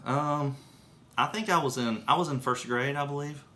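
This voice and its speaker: A man casually telling rambling anecdotes in conversation, halting and correcting himself as he goes. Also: fairly high pitch, sharp tone. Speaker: storyteller recalling his own childhood.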